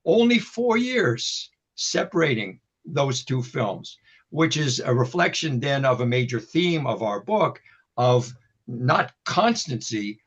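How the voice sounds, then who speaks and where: A male historian talks through his points over a video call, pausing and restarting as he builds an argument. The audio is dull and lo-fi. His voice sits at an ordinary middle pitch. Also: breathy edge. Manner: thoughtful, lecturing with many restarts. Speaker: historian and book co-author. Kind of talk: webinar discussion.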